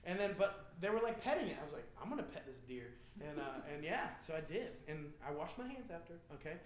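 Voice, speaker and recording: A man's voice: fairly high pitch, big pitch swings, fast, slight background noise. An American man hosting a band's live stream, telling stories and joking in a playful, rambling, slangy way. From Indiana, United States. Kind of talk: casual joking banter with viewers. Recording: roomy, echoing live stream sound.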